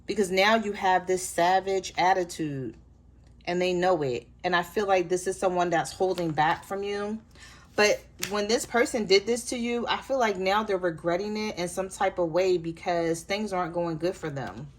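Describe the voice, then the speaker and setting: A woman with a hoarse voice giving a chatty tarot reading straight to her viewers. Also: lowish voice, normal speed, slight background noise. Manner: relaxed, chatty, addresses viewers directly. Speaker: tarot reader.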